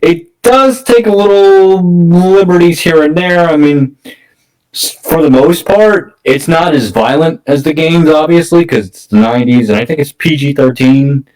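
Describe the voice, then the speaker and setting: A man in a casual film discussion as a podcast guest, speaking haltingly and often repeating phrases. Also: fairly high pitch, darkish tone, low-quality recording.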